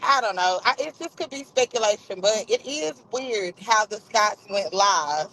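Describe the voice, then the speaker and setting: A woman's voice: medium pitch, lively intonation, normal speed, a bit bright, a little hiss or hum, rough recording. A woman guest on a livestream giving her opinion emphatically, repeating phrases and restarting often. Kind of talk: casual opinion chat.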